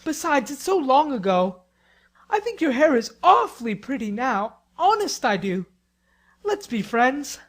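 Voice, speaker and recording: Woman playing high, swooping earnest teenage boy. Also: sing-song, a little slow, exaggerated voice. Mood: eager, apologetic. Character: earnest teenage boy, apologetic then angrily proud. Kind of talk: audiobook dramatic reading.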